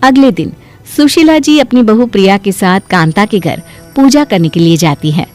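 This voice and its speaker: A woman's voice: mid-range voice, expressive, moderate pace, darkish tone, soft background music. A woman giving scripted narration for a moral story as its narrator.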